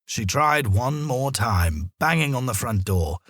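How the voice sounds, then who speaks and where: Lively male storyteller with a husky edge. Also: mid-range voice, unhurried, no room sound, clean studio sound. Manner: dramatic, expressive storytelling. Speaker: narrator. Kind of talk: children's story narration.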